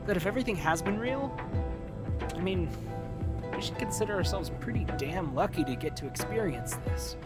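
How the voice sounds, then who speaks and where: A guarded young man, played by an actor in an audio drama, speaking over loud music. His voice is slightly high and moves up and down a lot. He talks informally and hesitantly, as a hurt but loyal friend. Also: lively intonation, normal speed. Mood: conflicted. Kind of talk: conversational audio drama acting.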